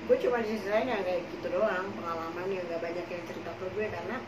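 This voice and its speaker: A young woman chatting casually, hoarse and coughing, rambling with many fillers as she tells her own experiences. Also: lowish voice, fairly even intonation, fast talker. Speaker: podcast co-host telling her own experiences. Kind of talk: casual chat in Jakarta slang. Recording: echoey room, far from the mic, noisy.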